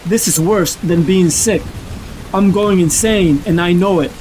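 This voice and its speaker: A tormented vigilante played by a man narrates in a high-set male voice. He is sick and losing his grip, confessing it all in a brooding, dramatic comic-book monologue. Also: a little slow, a little mellow, a little hiss or hum, low-quality recording. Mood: brooding, troubled.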